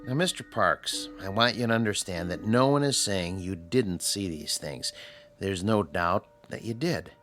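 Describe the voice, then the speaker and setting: An actor in a radio drama playing a patient psychiatrist who explains and probes with measured, calm authority. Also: male voice, low voice. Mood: patient, probing. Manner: measured, didactic, probing. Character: patient, reasoning male psychiatrist, calmly authoritative. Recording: radio drama with music underneath.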